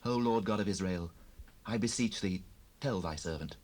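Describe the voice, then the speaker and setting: An actor playing a devout fugitive war leader in a dramatized Bible recording, solemn and earnest. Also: male voice, sits low, moderate pace, darkish tone. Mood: prayerful pleading.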